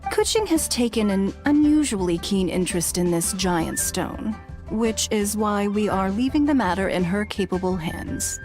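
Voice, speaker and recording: A woman voicing a refined, dignified leader in a video game, speaking in a measured, precise way. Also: medium pitch, lively intonation, a little mellow, faint music behind, close to an everyday voice. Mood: composed.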